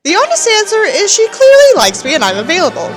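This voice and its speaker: A pompous, nihilistic teenage boy reading out his journal, performed by a woman. The voice is high and swoops theatrically, full of contempt and self-importance. Soft music runs underneath. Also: huge pitch swings, quick pace, full character voice. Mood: smug, detached.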